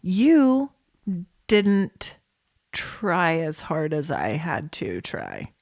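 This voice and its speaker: Lady cohost chatting n joking, playful, pitch goes all over, dry room sound. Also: wildly swooping pitch, extremely slow, a little mellow, dry recording. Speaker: podcast co-host. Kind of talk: casual comedic chat.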